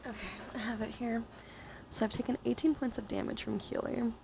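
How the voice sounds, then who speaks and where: A woman hosting a casual ASMR-style live stream game, soft-spoken with half-whispered phrase endings and a casually sweary way of talking. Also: on the high side, fairly even intonation, fairly quick, gravelly, a little hiss or hum.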